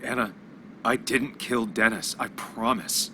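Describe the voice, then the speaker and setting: A man's voice: medium pitch, steady tone, measured pace, bright, forceful tone, a little hiss or hum. An actor playing a brooding, guarded journalist in a video game, analytical and understated in his delivery. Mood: urgent, guilty. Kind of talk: video game voice acting with muttered inner monologue. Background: music.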